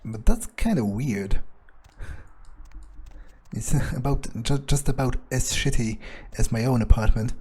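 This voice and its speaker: A man's voice: medium pitch, unhurried, pauses often, dark tone, husky. A man playing a sardonic, grumbling vampire who thinks aloud in casual, sweary monologue for a game roleplay. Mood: musing. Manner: grumbling, sarcastic, swears freely. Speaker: game player narrating in character.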